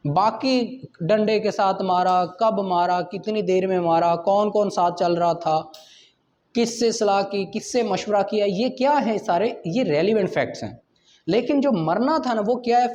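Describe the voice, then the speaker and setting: A man's voice: high voice, darkish tone, a little hiss or hum. A Pakistani man lecturing as a law teacher, explaining step by step in a didactic way, often asking questions and answering them himself. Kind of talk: explanatory exam-prep lecture mixing Urdu with English legal terms. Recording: dull lo-fi lecture audio.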